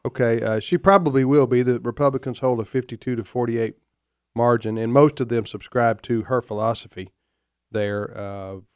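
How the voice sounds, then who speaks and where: Folksy middle-aged radio host, dry studio sound. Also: male voice, medium pitch, fairly even intonation, darkish tone, clean tone, dry recording. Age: 50s. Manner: folksy, opinionated, halting with many fillers. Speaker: radio talk show host. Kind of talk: casual radio talk.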